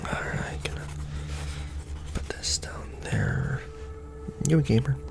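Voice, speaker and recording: A man playing a casually menacing secret-society recruiter in an ASMR roleplay, with a breathy, mostly whispered, gravelly voice and sardonic, conspiratorial patter, close on the microphone. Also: slow, over music, lightly acted. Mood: chummy, sardonic. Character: casually menacing Illuminati recruiter onboarding a new initiate.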